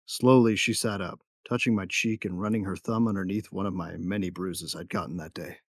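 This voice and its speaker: Tough bounty hunter narrator; low, close, dry sound, brooding with a tender streak. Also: male voice, steady tone, fairly quick, no room sound. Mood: brooding, intimate. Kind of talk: first-person audio drama narration.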